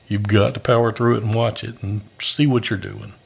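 A man's voice: lowish voice, fairly even intonation, normal speed, gravelly, husky. A man who does stand-up comedy, hosting a casual podcast chat with dry jokes. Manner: joking, dry wisecracks.

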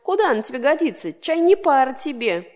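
Grumbly bossy old horsefly uncle, a woman doing him, loud and high-pitched scolding. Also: big pitch swings, measured pace.

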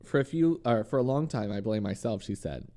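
Man with a slight lisp, fairly low voice, reads a news story aloud, casual podcast banter. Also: animated, brisk, dark tone. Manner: swears when flustered. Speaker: podcast co-host.

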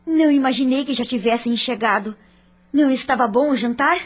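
A passionate young heiress who is used to getting her way, voiced by an actress. Her voice sits high with a husky, breathy edge, and she pleads and coaxes her way through every line. This is radio drama acting. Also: a little fast. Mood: coaxing. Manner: ardent, coaxing.

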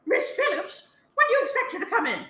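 A woman's voice: very high-pitched, wildly swooping pitch, fast talker, pushed and bright, heavily acted. A woman acting a panicked invalid wife alone in her house in a radio play, stammering and pleading frantically. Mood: anxious. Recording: roomy, echoey, faintly noisy live recording.

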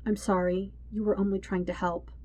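A woman voicing a subdued captive teenage prince in a story, hushed, halting, apologetic and resigned. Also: medium pitch, flat delivery, dry recording, close to an everyday voice.

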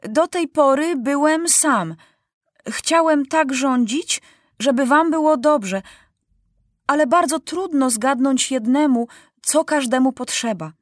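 A woman voicing an earnest, idealistic young boy king who makes a slow, careful speech. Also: high-pitched, no echo, clean studio sound, voice pushed up.

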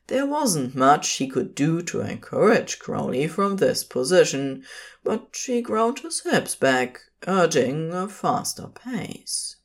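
A woman narrating scripted fiction as a storyteller, in a measured, phrase-by-phrase manner. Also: deep voice, very animated.

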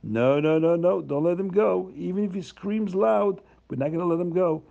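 A man's voice: sits high, normal speed, gravelly, mellow, voice pushed up. A man voicing a stern rabbi judge who speaks in a firm, insistent way, on a slightly muffled recording.

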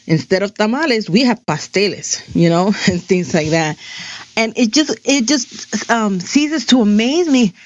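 A woman's voice: mid-range voice, expressive, a little slow, no echo. Chatty middle-aged woman, muffled dry audio. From New Jersey, USA. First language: Spanish. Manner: chatty and digressive, full of "um" and "you know". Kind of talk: casual personal monologue.

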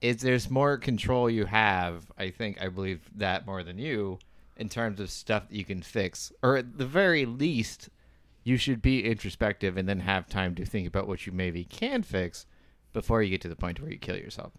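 A man's voice: lowish voice, expressive, moderate pace, few pauses, fairly bright tone, no echo. A man co-hosting a casual podcast chat, thoughtful and hedging as he weighs his views. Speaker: podcast host.

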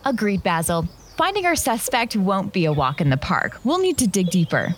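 A woman playing a confident, determined detective with a brisk manner in a comic radio mystery. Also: medium pitch, very animated, a little hiss or hum, close to an everyday voice. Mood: determined, triumphant. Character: determined, confident female detective, wryly punning.

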